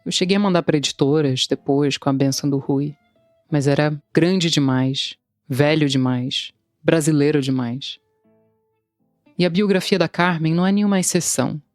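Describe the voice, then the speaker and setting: A woman's voice: low-pitched, normal speed, darkish tone, dry recording, studio quality, faint music behind. A woman in her thirties, a translator, telling her own story in wry, confessional scripted narration. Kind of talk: scripted first-person narration.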